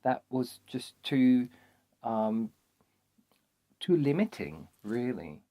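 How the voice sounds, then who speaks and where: A man, a performance artist, speaking thoughtfully and hesitantly with many pauses. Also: mid-range voice, big pitch swings, mellow. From France. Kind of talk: personal recollection.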